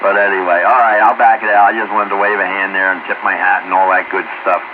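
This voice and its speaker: A man's voice: low voice, little pitch movement, quick pace, phone-quality sound. A man chatting casually over two-way radio in a folksy, rambling way, often repeating himself. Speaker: radio operator chatting on a group call. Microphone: radio transmission. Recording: noisy, band-limited two-way radio.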